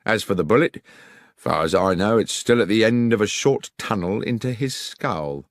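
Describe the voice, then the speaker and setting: A man's voice: lowish voice, lively intonation, moderate pace, no echo, clean studio sound, pitched above the performer's own voice. A man voicing a stubborn, insolent portly village policeman with a British accent and a clipped, smug manner.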